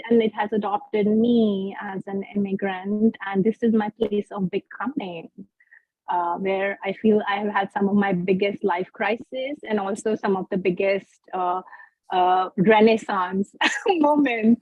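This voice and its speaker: A woman from India, a poet, reading her poem and then answering questions in a slow, measured, reflective way. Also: medium pitch, no echo, narrow, tinny audio. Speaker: guest poet. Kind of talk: poetry reading and interview answers.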